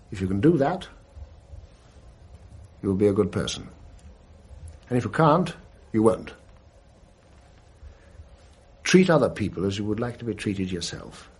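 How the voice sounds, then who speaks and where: Husky, solemn British schoolmaster. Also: male voice, on the low side, darkish tone. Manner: solemn, didactic, measured pauses. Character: stern, earnest older male schoolmaster moralizing to a boy. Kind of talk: British radio drama acting.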